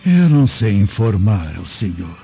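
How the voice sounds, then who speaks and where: An actor playing a gentle, devout old man in a radio drama, speaking solemnly in a measured, preaching way. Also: fairly low pitch, big pitch swings, gravelly, heavily acted. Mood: calm, firm. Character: gentle, devout, steadfast elderly Samaritan uncle. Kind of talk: Brazilian radio drama acting. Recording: dull lo-fi audio, music behind.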